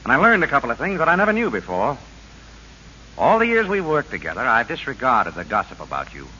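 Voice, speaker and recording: A forceful, upright radio-drama prosecutor. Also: male voice, medium pitch, very animated, fast talker. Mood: stern, indignant. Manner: earnest, firm, clipped delivery. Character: upright, principled male prosecutor running for governor. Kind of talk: 1930s radio acting. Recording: muffled old radio recording with hiss.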